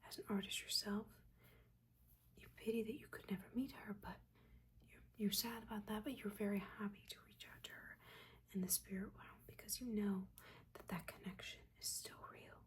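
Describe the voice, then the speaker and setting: Witch mentor for ASMR roleplay, female performer: soft whispering, caring yet judging, gentle halting instruction. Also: medium pitch, fairly even intonation, lightly acted. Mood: solemn, tender.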